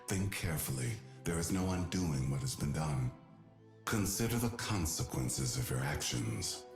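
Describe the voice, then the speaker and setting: A man voicing an ancient, wise god guardian in a video game, speaking in a grave, measured, formal and patient way. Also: extremely low pitch, animated, darkish tone. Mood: grave, counselling. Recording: echoing voice over music.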